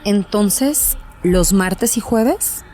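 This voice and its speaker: A woman playing a composed, affable psychiatrist, gentle and professional, in an audio horror drama. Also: mid-range voice, animated, extremely slow, a little hiss or hum. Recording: faint music behind the voice.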